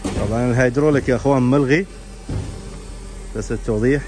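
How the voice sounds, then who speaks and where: A man's voice: medium pitch, fast, darkish tone, a little hiss or hum. A man, giving an informal walk-around commentary as a car auction presenter. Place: large indoor car showroom and workshop.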